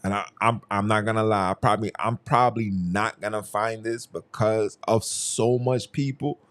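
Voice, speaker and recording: A man's voice: on the low side, fairly even intonation, normal speed, fairly bright tone. A young man hosting a solo podcast, talking in a heated, emphatic way and often repeating his phrases. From Haiti. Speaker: podcast host. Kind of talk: casual solo commentary.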